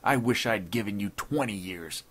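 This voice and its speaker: A man voicing a proud older judge in a story, grave and desperate, speaking in a formal, deliberate way. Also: on the low side, a bit bright. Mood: sullen anger. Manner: formal, deliberate, earnest speech.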